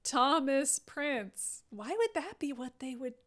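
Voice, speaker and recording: Woman with a highish voice, reading script out loud, keeps stopping to mock it. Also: sing-song, takes it slowly. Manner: breaks off into incredulous, mocking asides. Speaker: host reading stage directions. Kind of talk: live comedic table read with asides.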